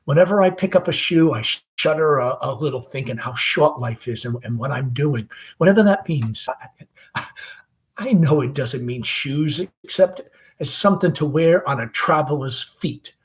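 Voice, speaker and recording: A restless young dreamer from a stage drama, read aloud by a man, with a slightly high voice. He speaks in impassioned, brooding speeches, discontented with his dull working life. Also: animated, moderate pace, natural voice.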